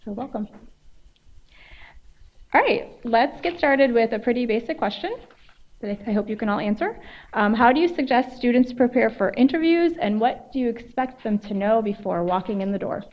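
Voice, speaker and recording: A woman moderating a law school panel, reading formal introductions of the speakers in a measured way. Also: fairly high pitch, expressive. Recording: dull lo-fi sound.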